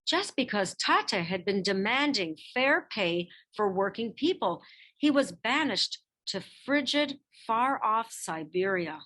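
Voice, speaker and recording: A woman reading a children's picture book biography aloud in expressive narration, with measured phrasing and dramatic emphasis. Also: mid-range voice. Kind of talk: expressive scripted storybook narration.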